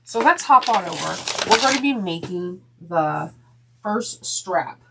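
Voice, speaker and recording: A woman hosting a sewing tutorial, chatting through each step with frequent ums and restarts, her voice slightly deeper and congested from a sinus infection. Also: animated, a little slow, creaky, very noisy.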